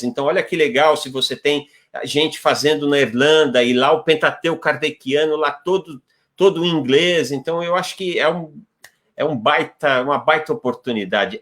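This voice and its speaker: A man's voice: fairly high pitch, moderate pace, fairly bright tone. A man, speaking enthusiastically as a panel guest in a live online conversation. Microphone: video call.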